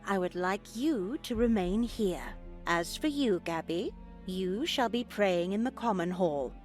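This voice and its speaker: An older nun played by a woman preaches with formal, unhurried diction. She is grandly pious and a little too zealous, like a horror game's holy matron. Faint music plays behind her. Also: medium pitch, expressive, natural voice. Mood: soothing, patronizing. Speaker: voice actor in a visual novel. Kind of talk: dramatic video game acting.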